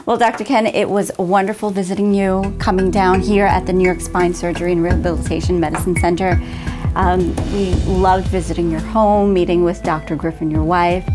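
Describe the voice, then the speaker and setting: Low-voiced woman hosting an interview. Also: steady tone, brisk, music in the background. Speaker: talk show host. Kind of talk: interview questions and thanks. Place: office, seated at a desk.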